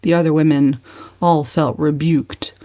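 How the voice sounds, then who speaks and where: A woman narrates a novel in a slightly low voice. Her delivery is measured and expressive, in the manner of a dramatic reading. The recording is very dry, with no room sound. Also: dry recording. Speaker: audiobook narrator.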